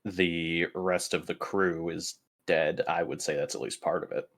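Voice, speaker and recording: A man's voice: deep voice, steady tone, unhurried, fairly bright tone. A man bantering casually during a tabletop roleplaying game session.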